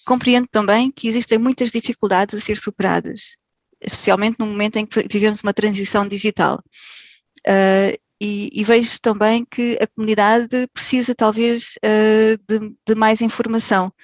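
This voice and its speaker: A woman's voice: mid-range voice, flat delivery, normal speed, dry recording. A woman from Portugal with a European Portuguese accent, a podcast guest talking casually and hesitantly, with many fillers and restarts. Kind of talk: casual interview.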